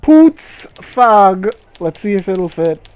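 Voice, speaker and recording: Male stream host, higher-pitched and very animated, mock-grandiose delivery, slow dramatic pacing, music behind. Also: smooth. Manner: sweary. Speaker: livestream host. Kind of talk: theatrical game-stream commentary.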